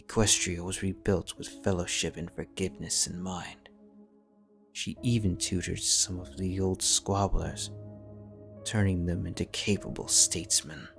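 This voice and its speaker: Deep gravelly guy reading a story, measured and flat, music under it. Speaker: audiobook narrator. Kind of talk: scripted fanfiction narration.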